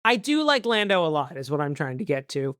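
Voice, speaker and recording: A podcast co-host in a casual movie chat, talking in an animated way with jokey asides and exclamations. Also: medium pitch, brisk, no room sound, studio quality.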